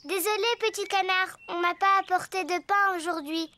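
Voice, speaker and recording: A woman's voice: very high-pitched, steady tone, moderate pace, sharp tone, slight background noise. A woman voicing a cheerful little girl pig in a children's cartoon, with a simple, childlike delivery. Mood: friendly. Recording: music behind the voice.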